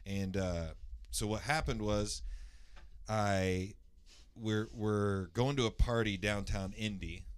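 A man from the Indianapolis area with a naturally loud voice, casually telling a long personal story, rambling with lots of fillers and restarts and clearing his throat. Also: fairly low pitch, steady tone, takes it slowly. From Indianapolis area, USA.

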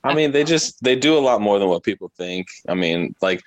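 Casual man, fairly low voice, dry sound. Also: expressive, brisk, dry recording. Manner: informal, slangy. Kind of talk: casual chat. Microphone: video call.

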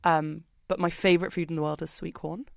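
A British woman being interviewed on a podcast, talking in a chatty, expressive way with plenty of ums and likes. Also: low-pitched, fairly quick, vocal fry, no echo, studio quality. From Britain. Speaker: podcast guest. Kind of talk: casual interview chat.

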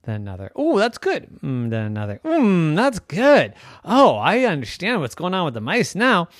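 A man voicing a group of excitable kitties with squeaky, gushing voices. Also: moderate pace, no echo, studio quality. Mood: delighted.

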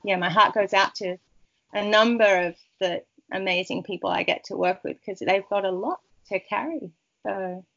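A woman author from Peru, a Spanish native speaker, talking in a rambling, warm way with many restarts in a casual interview. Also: mid-range voice, moderate pace, creaky, highs cut off. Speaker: author guest.

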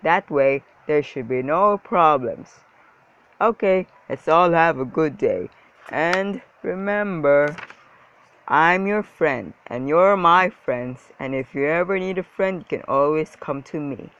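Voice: medium pitch, animated, takes it slowly, a bit bright, clean tone, muffled recording. A reader voicing an upbeat, self-important school principal making hearty, emphatic announcements in a children's storybook. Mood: hearty, pompous.

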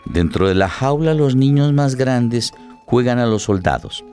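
A man delivering dramatic scripted narration for a radio play in measured, deliberate short phrases. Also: medium pitch, lively intonation, a little mellow, soft background music.

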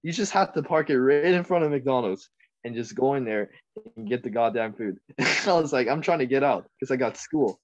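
A young man from Taiwan, a podcast guest chatting casually, laid-back, laughing often and trailing off quietly. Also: mid-range voice, animated, moderate pace, highs cut off. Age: young adult. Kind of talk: casual chat.

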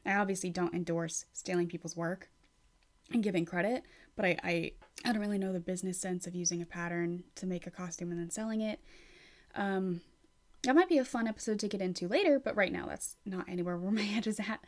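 A woman hosts her own podcast in loose, casual chat. She rambles, circles back and restarts her thoughts. She is frank and talkative, swears now and then, and her voice sits at a middling pitch. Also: darkish tone. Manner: rambling, with many fillers and restarts. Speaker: podcast host.

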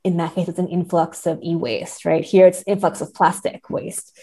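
A woman's voice: lowish voice, little pitch movement, normal speed, dark tone, breathy edge. A woman speaking as a podcast guest in a thoughtful literary discussion, analytical and careful, often checking in as she goes. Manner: hedging, analytical, often seeking agreement with "right?".

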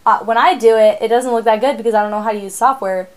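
A teenage girl co-hosting a podcast, chatting casually in an animated, rambling way, often laughing. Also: fairly high pitch, fast talker. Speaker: podcast co-host. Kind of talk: casual chat.

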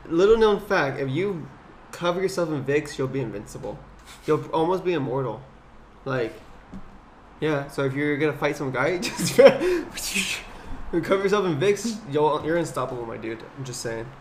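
A man's voice: on the high side, expressive, moderate pace. Playful young man hosting a podcast. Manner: playful, joking interviewer. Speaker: podcast host. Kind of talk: casual chat. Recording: faint background noise.

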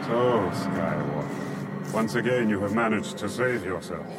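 An actor playing a menacing, commanding dark lord in a space-opera drama, speaking formally and deliberately. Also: male voice, on the low side, animated, very noisy. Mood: cold, taunting. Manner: formal, imperious, deliberate delivery. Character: menacing armored dark lord, commanding older male villain. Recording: loud music and effects behind.

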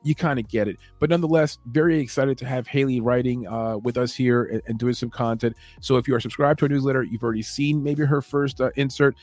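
A relaxed man talks through a late-night fight show in a calm, rambling, low-key way. His voice sits at a middle pitch, recorded close and dry. Soft music plays faintly behind him. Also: fast, hardly any pauses, no echo. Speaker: MMA podcast host. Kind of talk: late-night solo show, casual chat.

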